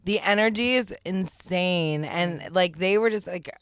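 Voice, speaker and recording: A woman's voice: fairly low pitch, measured pace, fairly bright tone, smooth, dry recording, clean studio sound. A young woman guest on a talk show, chatting casually in gushing, slangy language with plenty of swearing. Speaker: guest, musician and influencer.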